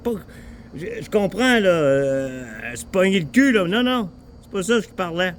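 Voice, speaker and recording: A man with a Quebec French accent chatting casually in a rambling, halting way, repeating himself and swearing informally. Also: high voice, animated, slight background noise. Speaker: vlogger talking with an AI assistant.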